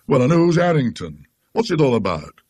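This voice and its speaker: A man performs a working-class British tram driver in a storybook ghost tale. He is blunt and bossy, speaking colloquially in a raised, husky voice with big swings of pitch. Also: very animated, moderate pace, a little mellow, dry recording. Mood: impatient. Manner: brusque, colloquial.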